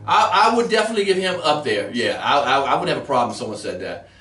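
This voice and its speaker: Brief: male comic guest, rapid-fire profane storyteller, distant mic in an echoey room. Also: mid-range voice. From grew up in Germany, then Washington DC. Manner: animated, profane, quick storyteller. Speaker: comedian guest. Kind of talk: casual radio interview storytelling. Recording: roomy, distant mic with faint ambience.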